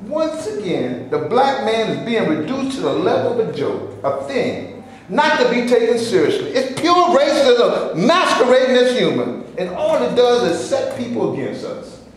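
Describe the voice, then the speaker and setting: A man's voice: very high-pitched, sing-song, moderate pace. An actor in a staged reading, playing an impatient, fed-up man who speaks in an impassioned, indignant way. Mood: bitter. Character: fed-up, impatient man demanding his share. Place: theater before a live audience. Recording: echoey room, faint background noise.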